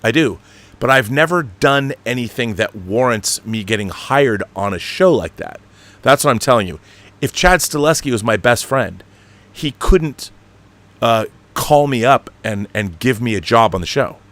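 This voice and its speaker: A man with a mid-pitched voice talks casually as a livestream host. He rambles in an opinionated way, leaning hard on his points with a fan's enthusiasm, and swears casually. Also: expressive, moderate pace, fairly bright tone. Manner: opinionated, emphatic fan enthusiasm. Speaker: online show host. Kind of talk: casual livestream monologue and chat reading.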